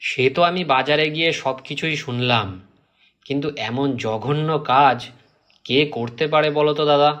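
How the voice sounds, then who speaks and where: A man's voice: medium pitch, normal speed, fairly bright tone, close to an everyday voice. A man voicing an earnest, suspicious younger villager in a Bengali cartoon. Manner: earnest, respectful.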